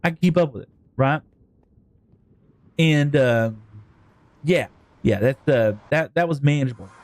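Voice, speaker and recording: A man's voice: a little high, animated, unhurried, darkish tone, dry recording. A man with a Texan accent chatting to his viewers on a live gaming stream, rambling and joking as he plays. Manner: rambling, jokey, talks to chat.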